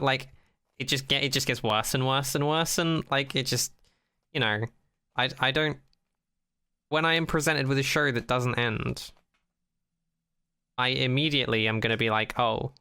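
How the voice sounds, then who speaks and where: A man's voice: mid-range voice, fairly even intonation, normal speed, long pauses, bright, forceful tone, clear, resonant tone. A man co-hosting a podcast in casual unscripted chat, skeptical and opinionated, often repeating and rephrasing as he goes. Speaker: podcast co-host.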